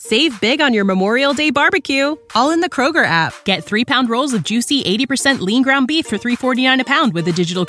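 Bright, high-voiced woman selling deals. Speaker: advertising voice-over. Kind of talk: scripted promotional deal read. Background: faint music.

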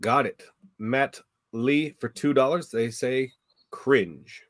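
A man moderating a livestream debate, reading out viewer questions in a brisk, flat way. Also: medium pitch, no echo.

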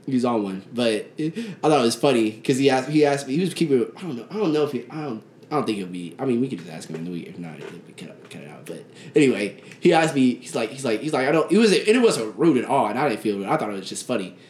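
A young man co-hosting a casual chat, telling stories in an animated way and mimicking other people. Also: fast talker, few pauses. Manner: animated storytelling, mimics others with jumps in pitch, laughs often. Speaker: podcast co-host. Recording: echoey room with faint background noise.

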